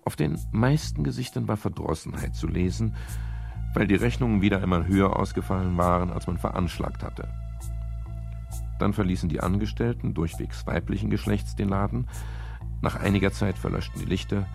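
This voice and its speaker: An urbane detective telling his case in a radio crime play. His voice is deep and gravelly, with hugely varied intonation. He is wry and courteous, wandering into ornate asides. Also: huge pitch swings, fairly quick, a little mellow, soft background music, heavily acted. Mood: wry, musing. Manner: ornate, digressive, dryly ironic, courteous. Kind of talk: scripted 1970s radio crime drama narration.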